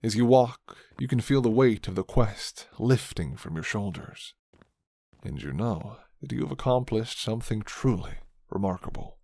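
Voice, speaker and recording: Male storyteller for scripted ASMR roleplay: fairly deep, fry in the tone, very dry recording. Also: expressive, moderate pace, mellow, no echo. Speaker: narrator. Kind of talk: scripted second-person ASMR story narration.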